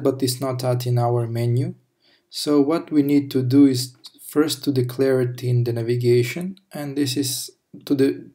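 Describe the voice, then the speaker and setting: A man talking through a coding tutorial over a screen recording, explaining step by step with pauses and self-corrections. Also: medium pitch, gravelly, dark tone. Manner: slow, halting explanation with fillers and self-corrections. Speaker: programming tutor.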